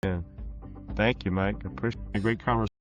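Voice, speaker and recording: A man's voice: on the low side, fairly even intonation, fast talker, soft background music. An older man chatting casually as an interview guest, rambling and good-humoured. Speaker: fiction author, podcast guest.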